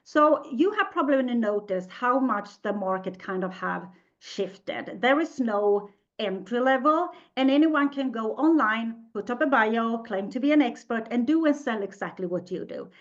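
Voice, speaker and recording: This is a woman with a Swedish accent, speaking English as her second language while she hosts a live online webinar. She talks in a chatty, upbeat way with a fairly high voice. The audio sounds a little dull and lo-fi. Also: expressive, normal speed. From Sweden. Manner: upbeat, chatty, reads the live chat aloud. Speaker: webinar host.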